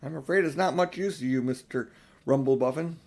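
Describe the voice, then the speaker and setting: A man's voice: mid-range voice, brisk, gravelly. A man voicing a young girl for a storybook, eager, kind and polite in manner. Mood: helpful, polite. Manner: excitable and polite.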